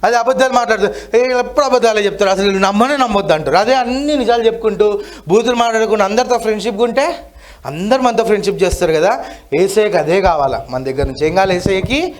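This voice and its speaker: Very high-voiced man teaching children. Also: animated, fast talker. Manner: asks questions and has children repeat. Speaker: children's Bible school teacher. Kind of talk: interactive children's lesson.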